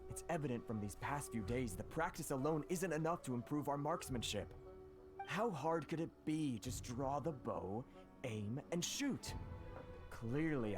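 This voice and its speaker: A man's voice: mid-range voice, natural voice. A man playing a serious, self-critical young trainee in an anime game, with formal, earnest phrasing.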